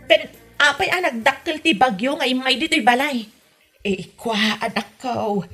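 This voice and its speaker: A woman playing a stingy, meddling Ilocano mother-in-law in a comedy audio drama, with an Ilocano accent and a husky voice. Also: sits high, unhurried, a bit bright, soft background music, heavily acted. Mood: complaining.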